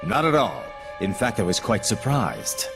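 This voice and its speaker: An actor playing a snide, formal and condescending government messenger in a fantasy game. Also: male voice, on the low side, huge pitch swings, a little slow, gravelly, exaggerated voice. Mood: smug, mocking. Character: snide, insinuating male messenger of a fantasy chancellor. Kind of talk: dubbed fantasy game acting. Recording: music behind the voice.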